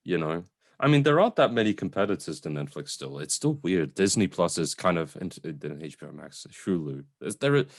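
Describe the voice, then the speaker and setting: A man's voice: low-pitched, animated, fairly quick, no echo, studio quality. A man co-hosting a podcast in casual film chat, talking in a hesitant, rambling way and repeating himself. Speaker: podcast co-host.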